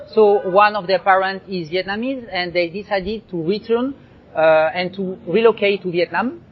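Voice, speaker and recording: A man hosting a casual interview who asks loose, rambling questions. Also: sits high. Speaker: host. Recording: slightly dull lo-fi sound.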